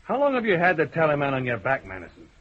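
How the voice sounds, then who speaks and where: A calm, firm detective. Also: male voice, fairly high pitch, quick pace. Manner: level, firm. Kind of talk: 1970s radio drama acting. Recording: muffled old radio broadcast.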